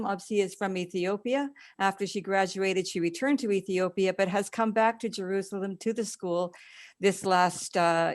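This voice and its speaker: Woman introducing guests over a video call, formal, a few uhs. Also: mid-range voice. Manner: warm formal introductions with hesitations. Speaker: alumni coordinator. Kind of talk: introducing speakers in an online info session.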